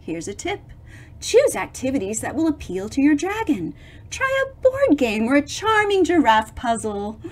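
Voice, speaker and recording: A middle-aged woman reading a picture book aloud to young children, expressive and theatrical, pausing to explain things with dramatic emphasis. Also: sits high.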